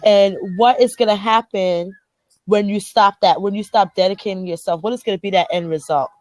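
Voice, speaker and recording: A woman's voice: medium pitch, fairly even intonation, brisk, dry recording. A woman with a Liberian accent hosting a motivational group chat, speaking earnestly and emphatically, often repeating phrases to drive a point home. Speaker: host.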